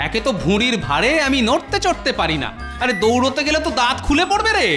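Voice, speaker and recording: A man's voice: very high-pitched, very animated, fast, loud music behind the voice. A man playing a witty, pot-bellied older cartoon court jester in a playful, exclamatory way. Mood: flustered. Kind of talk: Bengali cartoon voice acting.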